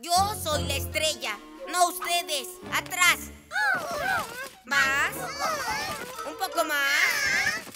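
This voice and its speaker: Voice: high voice, lively intonation, very slow, pauses often, loud music behind the voice, heavily acted. An actor voicing a vain boy ninja villain in a children's cartoon, boastful and theatrical, with a pompous, commanding way of talking. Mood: showy, self-admiring. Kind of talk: animated children's cartoon dub.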